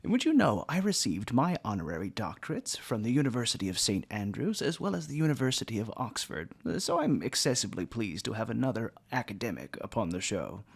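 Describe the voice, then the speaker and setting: A man playing a genteel, erudite eighteenth-century gentleman who hosts a podcast with courtly, theatrical phrasing. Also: fairly low pitch, very animated, a little fast, vocal fry, hardly any pauses, husky, no room sound, exaggerated voice. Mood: courteous, curious. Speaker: podcast host. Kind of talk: formal podcast introduction and interview.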